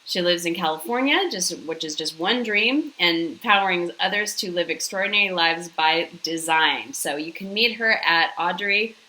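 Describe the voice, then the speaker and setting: Woman host, bright and forceful, affirming, low-ish voice. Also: slight background noise, rough recording. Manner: enthusiastic and affirming. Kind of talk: interview hosting.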